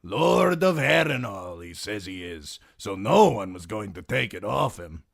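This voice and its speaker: A sly male sellsword, put on by a man narrating, sneers in a lazy Dornish drawl. The voice stays low and unhurried, close to the reader's own. It sounds dry and close in the audiobook recording. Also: big pitch swings, creaky, dry recording, full character voice. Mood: telling.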